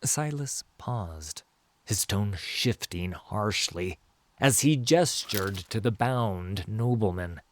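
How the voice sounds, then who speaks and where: A man narrating a scripted fantasy story in a theatrical, measured and emphatic way. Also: fairly low pitch, expressive. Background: music.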